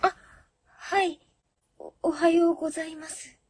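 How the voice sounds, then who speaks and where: A woman playing a timid, apologetic young office worker in a radio drama, quiet and hesitant. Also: very high-pitched, steady tone, a little slow, no echo, clean studio sound. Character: timid, apologetic soft-spoken female junior office worker. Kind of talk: comedic web radio drama acting.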